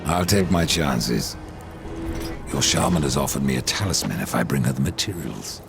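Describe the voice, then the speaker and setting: Very deep gravelly grim reaper horseman. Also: expressive, normal speed, exaggerated voice. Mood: grim, dry. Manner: curt, dry, sardonic. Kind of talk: video game character acting. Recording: loud game music behind.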